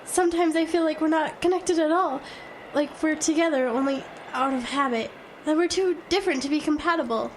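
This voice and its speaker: An actress playing an exhausted, anxious, self-doubting woman lost in the cold, speaking plaintively and haltingly in an audio drama. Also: sits high, a little hiss or hum, natural voice. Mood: weary, doubtful.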